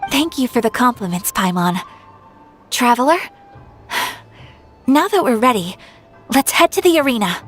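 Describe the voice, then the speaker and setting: A woman playing a polite, refined young noblewoman swordfighter in a video game, formal and gentle. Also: fairly high pitch, animated, measured pace, long pauses, faint music behind. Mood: gracious, composed.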